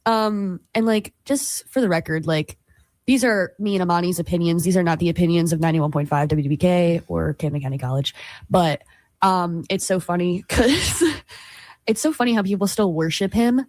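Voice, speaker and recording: A woman's voice: on the low side, normal speed, darkish tone, no room sound. Young woman host, giggly and rambling, dry close mic. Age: young adult. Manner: giggly, rambling, lots of likes and restarts. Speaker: college radio host. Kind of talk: casual chat.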